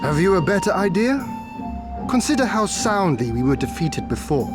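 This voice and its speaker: A resolute young prince. His voice sits slightly high, and his words are formal and measured. A game score plays clearly behind him. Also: sing-song, music in the background. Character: noble young male prince, earnest and resolute. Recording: game soundtrack under the voice.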